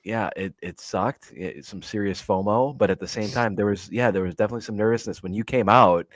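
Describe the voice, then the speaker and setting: A man's voice: lowish voice, brisk, no echo. A man co-hosting a casual podcast, friendly, stumbling and repeating words as he talks. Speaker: podcast co-host. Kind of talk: casual chat.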